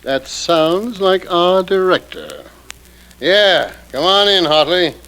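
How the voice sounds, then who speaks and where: A higher male voice plays a curt, cynical theatre man in 1940s radio style. The delivery is sardonic and no-nonsense. The vintage recording has slight noise. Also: big pitch swings, takes it slowly, fairly bright tone, full character voice, low-quality recording. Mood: sardonic, impatient.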